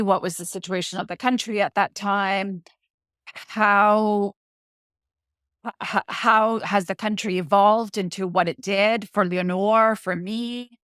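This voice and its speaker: A woman's voice: medium pitch, fairly bright tone, clean tone, dry recording, clean studio sound. A middle-aged woman from Colombia, a guest author talking through her work in a reflective way, often restarting as she searches for words. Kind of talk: interview conversation.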